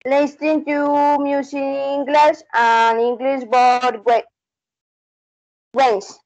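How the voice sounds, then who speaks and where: High-voiced young woman with Latin American Spanish accent. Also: level tone, a little mellow, dry recording, rough recording. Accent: Spanish-speaking Latin American. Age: 20s. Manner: halting, careful delivery. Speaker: English learner. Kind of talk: scripted practice conversation in halting English. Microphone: video call.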